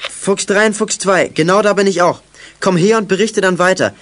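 A man's voice: high-pitched, moderate pace, a bit bright, slight background noise. A young male actor playing a bossy, level-headed boy detective with clipped phrasing in a 1980s radio play. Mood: firm, organizing. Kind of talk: 1980s German youth radio play acting.

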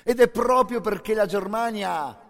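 Give the voice of a menacing, falsely courteous Nazi-era boxing bureaucrat, acted by a man in radio theatre. He is pitched high and stretches his words with pompous emphasis. The sound rings in a long hall reverb. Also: gravelly, fairly bright tone, full character voice. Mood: unctuous flattery. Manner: mock-courteous, emphatic, drawn-out delivery.

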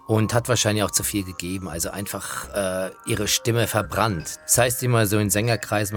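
A man speaking as a commentator, explaining things in an informal but informed way. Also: fairly low pitch. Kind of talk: explanatory commentary on opera singers' careers.

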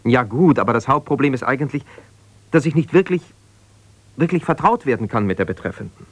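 A defensive husband in a naturalistic 1970s radio play, with a medium-pitched voice in a very dry room. He speaks conversationally and hesitantly, feeling for words as he justifies himself. He sounds wounded and keen to be understood. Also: expressive, fairly quick, no room sound. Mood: confiding, troubled. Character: defensive, wounded husband pouring out his troubles to a confidante. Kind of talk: naturalistic 1970s German radio drama monologue.

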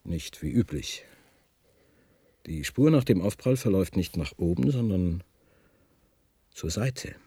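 An unsettled, condescending physics professor in a radio play. His low voice is very husky and swings widely as he picks his way haltingly through each phrase. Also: lively intonation, warm tone, no echo, full character voice. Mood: measured, probing. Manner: halting, deliberate, stammers when agitated. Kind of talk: German science-fiction radio drama acting.

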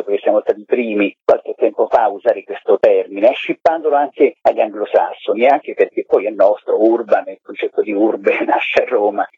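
Husky mature man on a tinny phone line. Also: mid-range voice, no room sound. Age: middle-aged or older. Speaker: event curator being interviewed. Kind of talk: conversational radio interview. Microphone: telephone.

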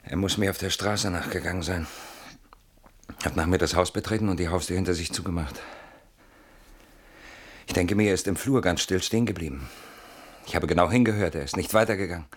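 A frightened, suspicious man in a radio drama, with a very deep, husky voice. He talks in a hushed but agitated, insistent manner, as if someone were listening. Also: brisk, pauses often. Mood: anxious, agitated. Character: frightened, suspicious man hiding from a stalker at night. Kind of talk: German radio play acting.

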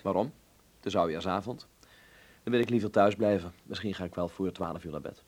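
An actor playing an earnest, dreamy young Dutch filmmaker in a radio drama, speaking politely and precisely with a husky, creaky voice. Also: male voice, low-pitched, fairly quick, darkish tone. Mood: dry, reluctant. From Netherlands. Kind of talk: radio drama acting with letter narration.

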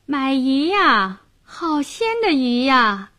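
A woman storyteller voicing a young fishwife who is sweet, bashful and flattering, with cunning deference. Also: high-pitched, slow pace, pitched above the performer's own voice, exaggerated voice. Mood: sweet street cry.

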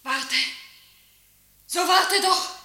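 An actress in a German radio play voicing a desperate young wife who pleads and cries out melodramatically. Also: female voice, very high voice, drawn-out words, sharp tone, full character voice. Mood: frantic, pleading. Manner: pleading, crying out. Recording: echoey room sound.

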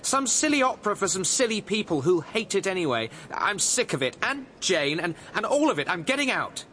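A man's voice: high-pitched, very animated, fairly quick, bright, forceful tone, exaggerated voice. An actor in a radio drama playing a restless, disillusioned, impulsive young male composer.

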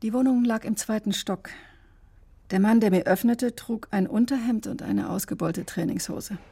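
An actress playing a brusque, sardonic emergency doctor who recounts a case in clipped, matter-of-fact radio drama narration. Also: female voice, medium pitch, moderate pace, mellow, slightly rough. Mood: sober, matter-of-fact. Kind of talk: German radio drama, dry first-person narration.

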